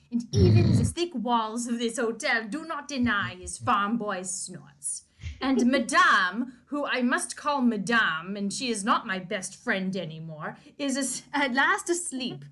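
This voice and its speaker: A woman co-hosting a casual podcast, chatting with playful giggles and reading a book aloud with lively emphasis. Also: a little high.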